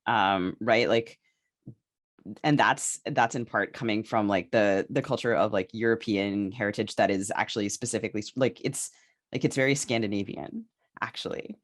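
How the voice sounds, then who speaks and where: A woman co-hosting a podcast discussion, speaking casually and haltingly as she thinks her points through aloud. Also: extremely low pitch. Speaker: podcast co-host.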